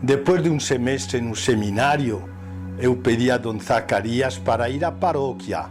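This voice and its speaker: Older man, Spanish-accented priest, very slow and hesitant, faint music behind. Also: medium pitch, a little mellow. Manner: slow, hesitant, with many pauses and fillers. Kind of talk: interview recollection.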